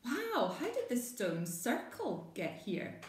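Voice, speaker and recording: A woman voicing an excitable, adventurous little girl in an expressive storybook reading. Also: fairly high pitch, slow pace, reverberant, slight background noise, voice pushed up, heavily acted. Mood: amazed. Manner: exclaiming, expressive storybook delivery.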